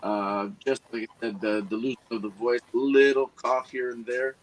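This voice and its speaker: A man's voice: mid-range voice, little pitch movement, moderate pace, poor audio. A man from Ontario, Canada, calling in as a guest. He is congested and losing his voice, and he talks in a friendly, laid-back way. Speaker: guest caller.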